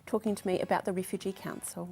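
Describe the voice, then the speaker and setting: A woman's voice: medium pitch, little pitch movement, fast talker, loud music behind the voice. A woman with a New Zealand accent hosting a local TV interview show, encouraging her guests with an upbeat manner. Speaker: host.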